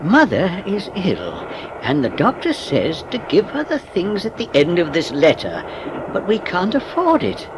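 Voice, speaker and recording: An actor playing a kindly, courteous old gentleman in a dramatised audiobook, with an avuncular, expressive manner. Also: high-pitched, a little slow, background noise, exaggerated voice. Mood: reading aloud.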